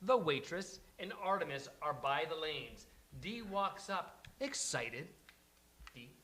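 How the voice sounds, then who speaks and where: A man with a moderately high voice hosts a live table read. He narrates scene headings and stage directions, recorded at a live event with faint noise behind him. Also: lively intonation, takes it slowly, bright, forceful tone. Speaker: host and stage-direction reader. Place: live venue before a studio audience.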